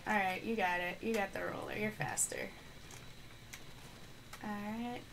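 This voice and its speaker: A woman's voice: mid-range voice, fairly even intonation, a little slow, creaky, background noise. Chatty woman streaming a game live. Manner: chatty, thinking aloud and reading out chat. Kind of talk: live gameplay stream commentary. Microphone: microphone on the floor.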